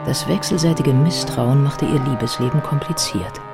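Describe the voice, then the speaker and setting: A man playing a sober biographer who narrates a historical life in a measured, factual way for a radio drama. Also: medium pitch, creaky, music in the background, lightly acted.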